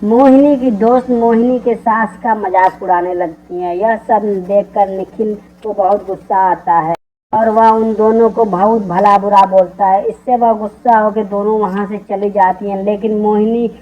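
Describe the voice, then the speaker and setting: A woman's voice: medium pitch, steady tone, moderate pace, lo-fi sound. A woman narrating a scripted story.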